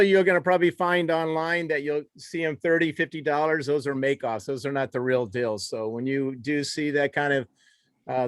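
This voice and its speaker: Smooth-voiced man hosting a virtual conference. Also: medium pitch, no room sound, studio quality. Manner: rambling, with many fillers. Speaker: conference host. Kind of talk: introducing speakers at a virtual conference. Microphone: video call.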